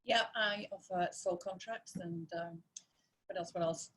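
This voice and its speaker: A woman's voice: on the low side, fairly even intonation, measured pace, fairly bright tone. A woman taking part in a live Q&A call, asking her question hesitantly and searching for words.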